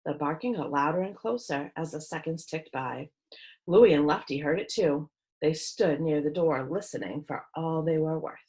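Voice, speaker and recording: Expressive woman reading a children's story. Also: deep voice, normal speed. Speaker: read-aloud storyteller. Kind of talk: expressive children's book narration.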